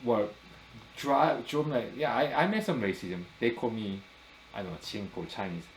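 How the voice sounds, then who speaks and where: A man's voice: mid-range voice, moderate pace, echoey room, a little hiss or hum, low-quality recording. A middle-aged man from Korea, speaking English, hosting a casual talk and asking curious questions. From South Korea. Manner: curious, asks many questions, backchannels often. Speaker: podcast host. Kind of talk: casual conversation.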